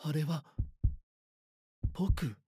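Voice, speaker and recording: A man's voice: a little high, drawn-out words, warm tone, slightly rough, dry recording, heavily acted. Anxious schoolboy narrator, acted by a man, dry recording. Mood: tense, uneasy. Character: anxious, bashful schoolboy narrating his own eerie story. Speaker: voice actor. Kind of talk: Japanese voice drama, first-person narration and dialogue.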